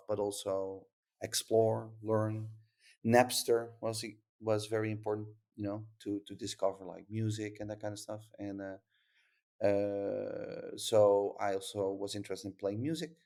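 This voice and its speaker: A man's voice: fairly low pitch, vocal fry, darkish tone. A Dutch-accented man from the Netherlands, a startup founder talking casually on a podcast, rambling with lots of fillers and restarts.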